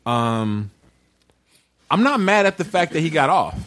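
Male podcast host, casual and animated, very expressive intonation, laughs readily. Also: a little high, unhurried. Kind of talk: casual group podcast chat.

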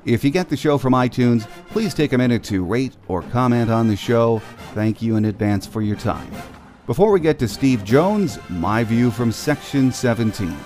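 A man's voice: medium pitch, darkish tone. An American man hosting a sports podcast, delivering a scripted monologue. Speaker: podcast host.

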